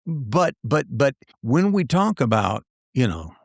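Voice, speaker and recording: A man's voice: mid-range voice, very animated, slow, vocal fry, no echo, clean studio sound. A man co-hosting a podcast, speaking thoughtfully and hesitantly, with many fillers and repeated words. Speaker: podcast co-host. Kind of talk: casual conversation.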